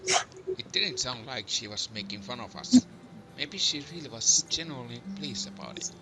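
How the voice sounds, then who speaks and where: A man's voice: mid-range voice, wildly swooping pitch, moderate pace, fairly bright tone, low-quality recording. A man playing a wary young hero who narrates his thoughts about demons in an amateur game fandub. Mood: wary, unnerved.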